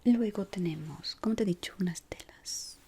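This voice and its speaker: A woman from whispers softly and without hurry in an ASMR roleplay, gently giving instructions as a decorator guiding a newcomer. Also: mid-range voice, slight background noise. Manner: soft, unhurried, gently instructing.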